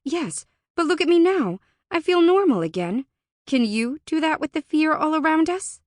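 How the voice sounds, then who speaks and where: Woman acting a determined, urgent young heroine, pitched high. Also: very animated, normal speed, no echo, studio quality. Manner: earnest, urgent, emphatic. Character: determined, anxious young heroine bargaining for help. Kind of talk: audiobook character acting.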